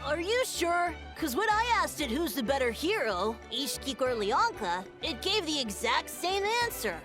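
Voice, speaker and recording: Chatty game kid, fairly high, animated, matter-of-fact. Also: female voice, normal speed, over music. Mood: doubtful. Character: matter-of-fact young child in a fantasy game.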